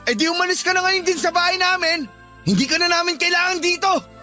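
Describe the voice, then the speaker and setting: A resentful young Filipino father in a radio play, spitting out scorn at a rapid pace. His voice is strained very high and sharp, combative toward his own family. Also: soft background music, exaggerated voice. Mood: defiant, furious. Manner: shouting, scornful, confrontational. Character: hot-tempered, resentful grown son and young father. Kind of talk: Filipino radio drama acting.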